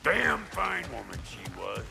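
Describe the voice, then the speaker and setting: An actor playing a boisterous, cranky, proud dwarf blacksmith in a video game, with a gravelly voice and folksy, irreverent talk. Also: male voice, fairly high pitch, wildly swooping pitch, unhurried, pushed and bright, faint music behind, full character voice. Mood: wistful, musing. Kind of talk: video game character acting.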